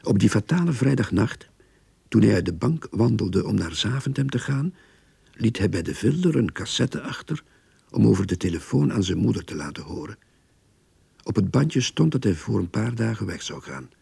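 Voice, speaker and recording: An actor playing a wry man narrating his own crime story in a radio drama, with a husky voice. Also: on the low side, big pitch swings, normal speed, dark tone, dry recording, full character voice. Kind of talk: radio drama acting with first-person narration.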